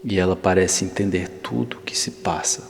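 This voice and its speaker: A low-pitched man with a Brazilian accent leads a guided meditation. He speaks soothingly and deliberately, leaving long pauses between phrases. His voice rings out in a long reverb, with faint noise and ambience underneath. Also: steady tone, vocal fry. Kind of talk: ASMR guided visualization, scripted narration.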